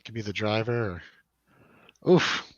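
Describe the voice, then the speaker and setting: A man hosts a loose film chat at an unhurried pace. He jokes, hesitates and lets sentences trail off. The sound is dry and a little dull. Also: fairly low pitch, steady tone, no echo. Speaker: host of a film review show.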